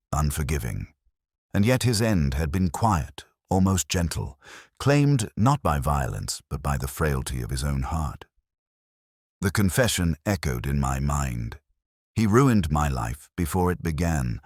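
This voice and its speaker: A melancholic police inspector played by a man tells his case in a radio mystery. His voice is extremely low, with an expressive rise and fall, recorded close and dry. The delivery is solemn, measured and inward-looking. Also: no room sound, exaggerated voice. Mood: somber, aching. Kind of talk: slow literary first-person detective narration.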